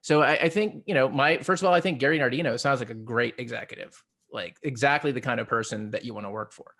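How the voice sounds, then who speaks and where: A man's voice: mid-range voice, fast talker, a bit bright. A man co-hosting a podcast, talking in an opinionated, casual way with blunt, emphatic, sometimes profane phrasing. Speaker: podcast co-host. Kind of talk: opinionated casual panel discussion.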